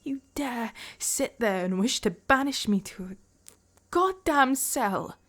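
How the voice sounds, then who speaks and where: A woman playing a breathy, wounded, indignant royal wife. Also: a little high, animated, takes it slowly, a bit bright, heavily acted. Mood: indignant, bitter. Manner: breathy, half-whispered, theatrical and accusing. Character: betrayed royal wife, proud, indignant, heartbroken. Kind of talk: dramatic fantasy roleplay monologue.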